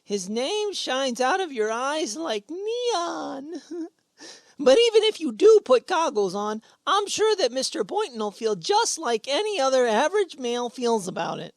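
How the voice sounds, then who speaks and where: A woman acts a gawky teenage schoolboy in a radio comedy. His voice is high and swoops about as he earnestly reaches for big words. The sound is dry and close. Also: sing-song, a little slow, no echo, full character voice. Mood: earnest, pompous. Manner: stammering, wordy, earnest. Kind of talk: old-time radio sitcom acting.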